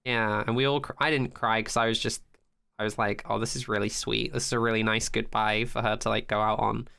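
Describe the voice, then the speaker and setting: A man's voice: fairly low pitch, little pitch movement, brisk, vocal fry, bright, forceful tone, smooth. A man co-hosting a podcast, recapping a TV episode in casual, sweary chat peppered with "like". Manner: sweary, frequent "like", acts out quotes. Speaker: podcast co-host.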